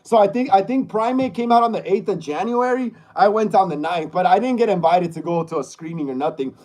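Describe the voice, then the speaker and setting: Man, 30s, high voice, livestream host, laid-back, stammering, repeats himself. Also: a little fast, darkish tone. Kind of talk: casual livestream chat reading viewer comments.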